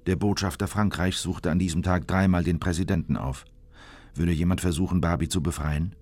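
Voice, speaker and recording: An actor playing a sober government insider who narrates tense political events in a measured, deliberate way for a radio drama. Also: male voice, sits low, steady tone, darkish tone, no room sound.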